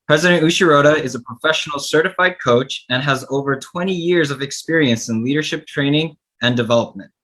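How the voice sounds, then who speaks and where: A man's voice: a little high, brisk, few pauses, fairly bright tone. A man acting as master of ceremonies, reading a formal introduction of a speaker.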